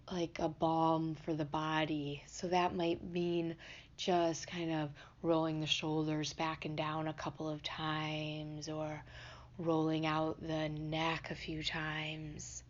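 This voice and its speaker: A woman leading a guided meditation in a gentle, unhurried, soothing manner. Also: low voice, steady tone, muffled recording. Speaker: meditation teacher.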